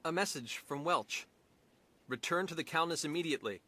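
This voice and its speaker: Bright-voiced earnest young captain. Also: male voice, on the high side, moderate pace. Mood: businesslike. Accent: American. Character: earnest, dutiful young male starship captain. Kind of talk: video game cutscene voice acting. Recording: music behind the voice.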